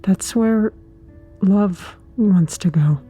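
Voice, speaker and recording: A woman's voice: mid-range voice, extremely slow, mellow, soft background music. An actress playing a reserved, literal-minded, wistful woman in an audio drama, speaking tersely and matter-of-factly. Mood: quietly musing. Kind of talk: understated audio drama acting.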